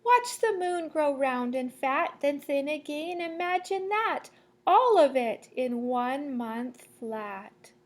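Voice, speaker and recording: A woman reading a children's picture book aloud like a teacher, in a measured, sing-song storytelling way. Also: high-pitched, animated. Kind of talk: children's read-aloud storytelling with asides to listeners.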